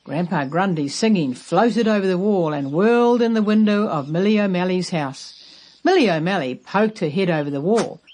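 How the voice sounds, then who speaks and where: Very animated woman reading a children's story. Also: lowish voice, normal speed. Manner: expressive, emphatic. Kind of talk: animated children's read-aloud narration.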